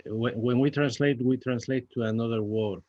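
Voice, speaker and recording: A man with a Spanish accent, a native Spanish speaker, talks unscripted in a discussion, haltingly searching for words in his second language. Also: mid-range voice, steady tone, mellow, no room sound. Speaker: playwright. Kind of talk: unscripted post-show discussion. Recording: dull, muffled lo-fi audio.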